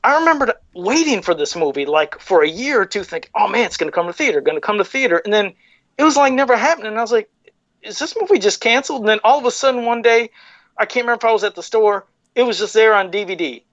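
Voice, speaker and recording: A man's voice: high-pitched, expressive, fast talker, fairly bright tone, dry recording, highs cut off. A man hosting a podcast in easygoing, chatty casual talk. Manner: easygoing, chatty, laughs along. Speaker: podcast host. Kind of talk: casual chat.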